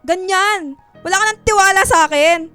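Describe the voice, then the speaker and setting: A woman's voice: extremely high pitch, normal speed, a bit bright. An actress playing a giddy, clingy young girlfriend in a radio drama, theatrical and whiny, with a Filipino accent. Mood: sulky, whiny. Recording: music bed under voice.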